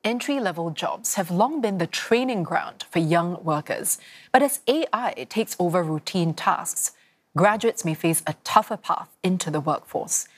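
A woman presenting a news report and interviewing a guest, with measured, clear delivery. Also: lowish voice, expressive. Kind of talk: scripted news reading and interviewing.

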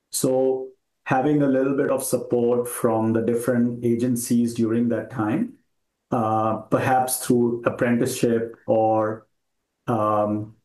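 A male industry commentator giving a news soundbite, deliberate, with pauses and fillers. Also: mid-range voice, darkish tone. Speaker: industry watcher. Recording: roomy, echoey sound.

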